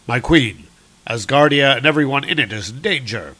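A man's voice: mid-range voice, lively intonation, normal speed, bright, forceful tone. A man voicing a proud, grave warrior god of thunder with declamatory, heroic speech for a comic-book story. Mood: urgent.

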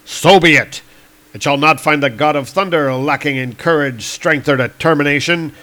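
Man playing a loud, noble thunder god with an archaic, heroic delivery. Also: normal speed. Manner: booming, archaic heroic declaiming. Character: mighty male thunder god hero, bold and noble.

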